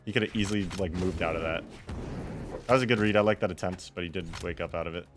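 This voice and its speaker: A man's voice: deep voice, fast, over music. A man giving casual, off-the-cuff commentary on his own game replay in a rambling, wry and self-deprecating way.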